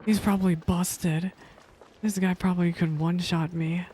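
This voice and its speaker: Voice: medium pitch, flat delivery, normal speed, mellow, a little hiss or hum. A gaming streamer giving casual, informal reaction commentary, often exclaiming and repeating words. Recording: voice over game music. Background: video game music.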